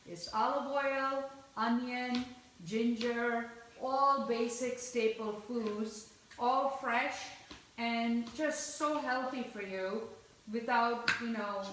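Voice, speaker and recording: A woman from India with a fairly high voice talks viewers through a home recipe, chatty and explaining each step as she cooks. She is heard from across a kitchen, with lots of echo and a faint hiss behind her. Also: steady tone, takes it slowly, a bit bright. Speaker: cooking show host. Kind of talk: live-streamed cooking demonstration. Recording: echoey kitchen from far off.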